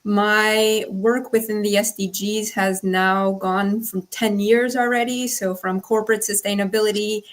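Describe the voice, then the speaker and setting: A woman introduces herself as a panelist. She is from Brazil and grew up in the United States, and speaks at a medium pitch. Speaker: panelist, sustainability professional.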